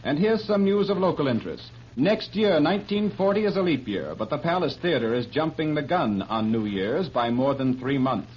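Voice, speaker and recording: A man reading a 1930s radio newscast and station announcements in a brisk announcer delivery. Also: fairly high pitch, lively intonation. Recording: dull old radio broadcast with faint hiss.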